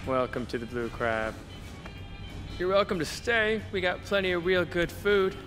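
An actor voicing a hospitable, earnest survivor who runs a refuge in a zombie video game, speaking in a measured way. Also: male voice, high voice, fairly bright tone. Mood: welcoming. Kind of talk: video game dialogue acting. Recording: game music behind the voice.

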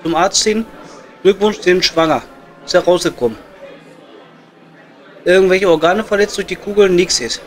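Coal miner at the pub, played by a man, high voice, Ruhr German, foul-mouthed and grumbling, improvised comedy over light music. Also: moderate pace, long pauses, lightly acted. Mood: joking. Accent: Ruhr area German. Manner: grumbling, coarse, colloquial. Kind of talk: improvised comedy audio play. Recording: music behind the voice.

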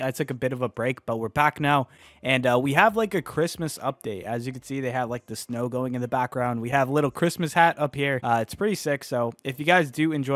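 A young man with a hoarse, fading voice from a cold, casually commenting on his own online case-opening battles, chatty and excitable, then dropping to a mutter. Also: medium pitch, no room sound. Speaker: online gambling video creator. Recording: faint music behind.

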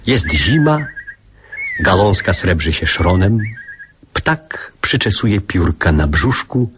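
A man playing a reflective narrator who recalls his childhood in measured, literary radio drama storytelling. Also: low voice, big pitch swings, noisy background, exaggerated voice. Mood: reflective, wry. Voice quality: husky.

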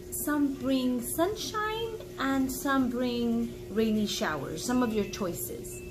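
A woman in her forties reading a children's book aloud like a teacher, encouraging and pausing to explain and ask the listener questions. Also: fairly high pitch, rough recording. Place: small indoor room at home.

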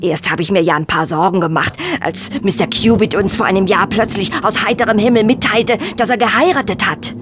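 An actress playing a loyal, chatty household servant in a German audio drama, earnest, gossipy and deferential. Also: female voice, medium pitch, steady tone, brisk, few pauses, background noise, lightly acted. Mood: earnest dismay.

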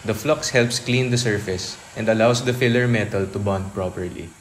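A man's voice: fairly low pitch, moderate pace, a little hiss or hum. A man giving a scripted, step-by-step voice-over for a repair tutorial, explaining each stage evenly.

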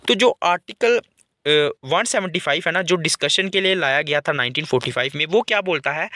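A man giving a line-by-line newspaper editorial lesson, reading English sentences slowly and then explaining them in Hindi. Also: on the high side, pushed and bright, no room sound.

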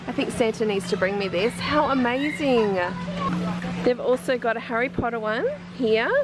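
A woman in her forties with an Australian accent, chatting excitedly and laughing as she films a shopping trip on a handheld camera inside a big warehouse store. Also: fairly high pitch, moderate pace, loud music behind the voice, low-quality recording. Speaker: family vlogger. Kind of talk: casual vlog narration while shopping. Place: large warehouse store indoors.

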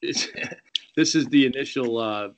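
A man discussing his writing casually on a video call, halting and often repeating words as he restarts. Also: mid-range voice, slight background noise. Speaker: screenwriter discussing his script.

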